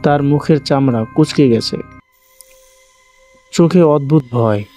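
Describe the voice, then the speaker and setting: A man's voice: a little high, a little slow, warm tone. A man narrating a scripted first-person horror story as the channel's host. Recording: faint music bed under narration. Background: faint music.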